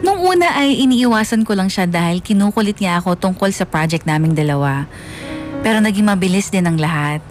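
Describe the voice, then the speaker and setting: Filipina narrating a radio drama story in first person, medium pitch, soft music bed behind. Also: female voice, animated, fairly quick. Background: faint music.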